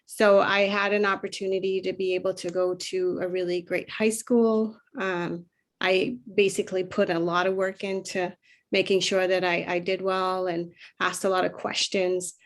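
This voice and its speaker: A woman from Trinidad and Tobago telling her personal story as a panelist, reflective and pausing often. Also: lowish voice, level tone. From Trinidad and Tobago, moved to the United States at twelve. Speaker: panelist, youth mentoring program leader.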